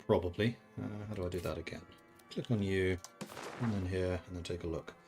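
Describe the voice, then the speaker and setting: A man's voice: low voice, a little fast, darkish tone, soft background music. A man from the Netherlands who grew up in England, streaming a strategy game and thinking aloud in casual commentary, chatting with viewers and laughing often.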